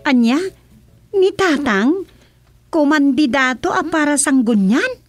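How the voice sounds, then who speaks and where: A woman playing a fretful high-voiced wife. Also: animated, slow, music in the background. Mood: worried. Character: worried wife named Rosa, fretting over family. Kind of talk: radio drama acting.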